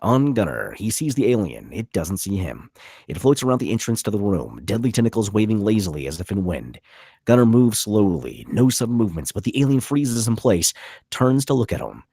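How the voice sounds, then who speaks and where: Male table-read narrator: raspy, punchy, lively pitch swings, dry close sound. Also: a little fast, no echo. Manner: vivid, punchy reading of action. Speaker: narrator reading stage directions.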